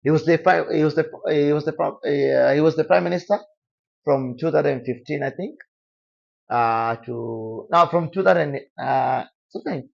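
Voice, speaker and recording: Soft-spoken young Tanzanian man. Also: fairly high pitch, moderate pace, creaky, lo-fi sound. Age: young adult. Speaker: guest, DJ. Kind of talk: casual interview chat.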